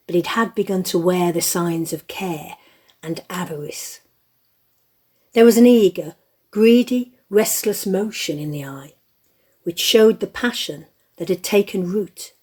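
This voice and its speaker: Woman reading a classic story, husky n slow, kinda low, deliberate narrator. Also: darkish tone. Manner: deliberate, literary.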